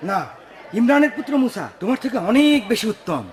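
A man voicing an excitable servant and townsman in a cartoon, brisk and eager. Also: very high voice. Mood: awed, earnest. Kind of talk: cartoon voice acting.

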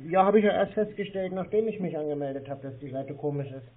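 A man with a slightly high voice chats casually on a narrow phone line. He sounds deadpan and evasive as he answers the caller. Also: quick pace. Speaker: prank call recipient baiting phone scammers.

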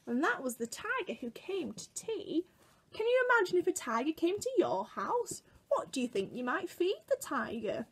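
A British woman in her twenties telling a picture-book story to young children in an expressive, exaggerated way, recorded indoors at home. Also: very high voice, moderate pace. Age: 20s. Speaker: children's museum worker reading a story to children. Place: small room at home, indoors.

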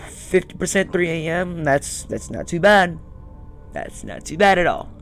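Young male gamer over loud game music. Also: fairly high pitch, animated, unhurried, gravelly. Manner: muttering, repeats phrases. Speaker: gaming video host. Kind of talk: casual let's-play commentary. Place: small room indoors.

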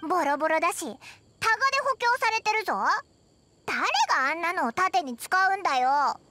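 A woman voicing a tiny childlike fairy companion in an anime game, blunt and exclamatory, with a squeaky voice. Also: expressive, a little fast, pushed and bright, slight background noise, heavily acted. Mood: scornful.

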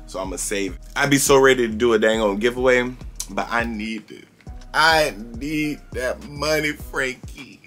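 A man's voice: on the low side, a bit bright. A young man vlogging casually to camera in a small room, chatty and sassy, his voice strained as if he is losing it. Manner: chatty, sassy, addresses viewers as girl and y'all. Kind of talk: casual vlog chat to camera.

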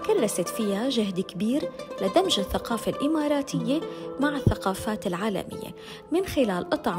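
A woman presenter with a Levantine Arabic accent, delivering scripted promotional narration. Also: a little high. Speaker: presenter and interviewer. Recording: music behind the voice. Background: background music.

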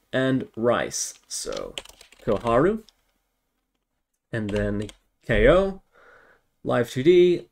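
A man's voice: medium pitch, darkish tone. A man giving an informal tutorial, thinking aloud step by step as he works on screen. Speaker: tutorial instructor.

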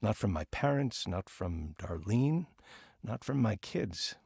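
A husband and father looks back on his failings in a radio drama. His mid-pitched voice has a gravelly, husky grain and sits dry and close. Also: animated, slow pace, a little mellow, dry recording, exaggerated voice. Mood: reflective narration. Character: proud, remorseful husband and father recounting his life. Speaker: lead actor and first-person narrator.

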